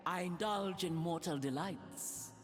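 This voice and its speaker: Woman acting imperious warlord huntress, slow and reverberant. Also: on the low side, close to an everyday voice. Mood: solemn, proud. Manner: imperious, solemn, deliberate. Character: proud, ruthless warlord huntress, chosen of a wolf spirit. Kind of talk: dramatic video game character voice lines. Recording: long reverb, music behind.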